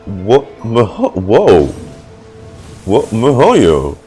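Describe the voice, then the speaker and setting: A man giving casual, halting live reaction commentary as he streams a video game, musing out loud. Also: on the low side, huge pitch swings, breathy edge. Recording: game music in the background.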